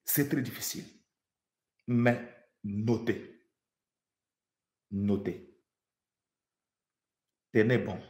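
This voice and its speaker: A man's voice: lowish voice, steady tone, drawn-out words, long pauses, a little mellow, roomy sound. A man from the Democratic Republic of the Congo preaching a prophetic sermon, fervent, repeating phrases for emphasis and stammering when he restarts.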